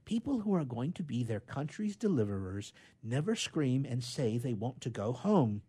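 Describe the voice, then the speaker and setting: A man's voice: mid-range voice, big pitch swings, normal speed, dark tone, slightly rough. A man voicing a bossy young boy in a storybook, acting brave and superior with his sister. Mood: scolding. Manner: superior, scolding.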